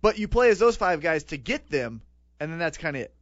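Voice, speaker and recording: A man's voice: a little high, sing-song, fairly quick, no echo, lo-fi sound. A man in his late twenties co-hosting a podcast, rambling and joking in casual chat. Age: 20s. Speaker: podcast co-host.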